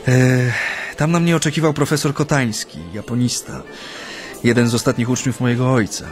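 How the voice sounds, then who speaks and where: A man's voice: mid-range voice, steady tone, natural voice. Guy acting polite japanese exile in a radio drama, mid voice, hesitant and reserved, music behind. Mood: reflective. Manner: hesitant, polite, filled with pauses.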